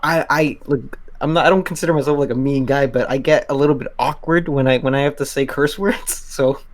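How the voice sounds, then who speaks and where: A man in a casual podcast chat, talking haltingly and repeating words as he gets his sentences going. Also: mid-range voice. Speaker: podcast co-host, voice actor.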